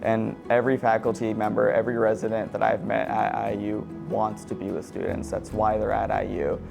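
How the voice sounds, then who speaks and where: A young man describing his years working at the racetrack and his mentor, with steady repetitions. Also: on the low side, a little fast, vocal fry. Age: young adult. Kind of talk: interview testimony. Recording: guitar music underneath.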